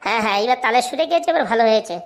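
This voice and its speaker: A woman's voice: fairly high pitch, animated, very fast. A woman voicing a chatty, helpful shopkeeper neighbour in a comic cartoon, coaxing. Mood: chatty, encouraging.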